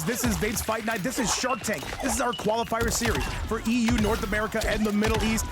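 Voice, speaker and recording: A man hosting and commentating a fighting game tournament stream, energetic and shouting out viewers in chat. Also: sits high. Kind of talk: hype esports commentary.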